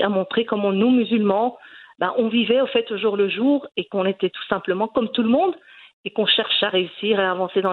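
A woman's voice: on the high side, phone-quality sound. A woman in her forties from Belgium, a cooking YouTuber answering interview questions earnestly, with many hesitations. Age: 40s.

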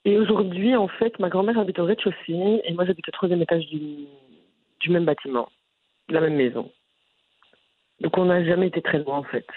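A woman from Brussels with a Brussels French accent, answering interview questions in an animated, frank way with frequent restarts. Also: lowish voice, fairly quick, breathy edge, no echo. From Brussels, Belgium. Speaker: content creator guest. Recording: phone-line audio.

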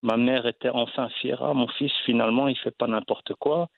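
A man from Belgium speaks in a gravelly, fry-laden voice, pausing often with fillers and restarts as he tells his story. The sound is dry and tinny, like a phone line, with no room around it. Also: mid-range voice, steady tone, dry recording. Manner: hesitant, many fillers and restarts. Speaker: actor, guest. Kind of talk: casual interview.

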